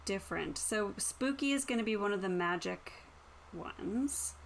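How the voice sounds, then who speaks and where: A woman's voice: medium pitch, lively intonation, unhurried. A woman chatting casually as a player in an online tabletop roleplaying session. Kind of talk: casual chat. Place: indoors, online call with stream. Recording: faint background noise.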